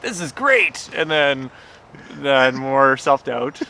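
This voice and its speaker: Halting, bright-voiced man outdoors. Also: medium pitch, expressive. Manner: halting, with repeated false starts. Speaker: screenwriter guest. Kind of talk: casual chat. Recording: clearly noisy outdoor urban ambience.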